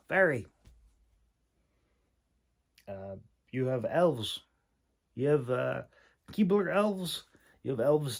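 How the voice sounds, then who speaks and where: Slow, halting man thinking aloud. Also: mid-range voice, gravelly, a little mellow. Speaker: host critiquing a writer's manuscript. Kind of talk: casual spoken critique, unscripted.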